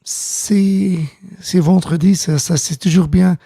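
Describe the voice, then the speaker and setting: Gravelly, mellow man. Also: high voice, fairly even intonation, no room sound. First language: Dutch. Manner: halting, many fillers and restarts, greets chat viewers. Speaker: live-stream host. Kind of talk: casual live-stream chat. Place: home music studio.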